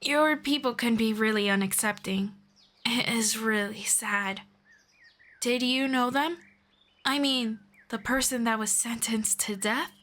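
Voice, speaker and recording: A woman voicing a patient, gently formal female elf friend in an ASMR roleplay, speaking softly and politely. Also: a little high. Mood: sympathetic. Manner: soft, unhurried, politely formal.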